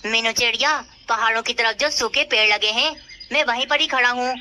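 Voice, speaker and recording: A woman's voice: fairly high pitch, a little fast, bright, forceful tone. A woman voicing a falsely friendly male pigeon in a children's cartoon. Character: kite slyly imitating a friendly male pigeon. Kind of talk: Hindi children's cartoon dialogue. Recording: birdsong noise behind.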